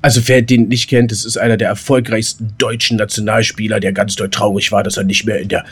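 A man chatting casually as a podcast co-host, colloquial and halting, rambling from thought to thought. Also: lowish voice, little pitch movement, a bit bright, breathy edge. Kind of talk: casual, unscripted podcast chat.

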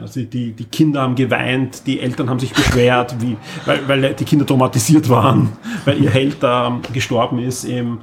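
Guy on a podcast, creaky voice, rambles on excitedly, bit of background noise. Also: mid-range voice. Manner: enthusiastic, wordy monologues with repeated emphasis. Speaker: podcast co-host. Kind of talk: casual enthusiastic chat.

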